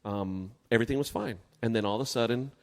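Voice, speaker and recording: A man's voice: mid-range voice, fast. A man in his forties chatting casually as a talk show co-host. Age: 40s. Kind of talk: casual chat. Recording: long hall-like reverb.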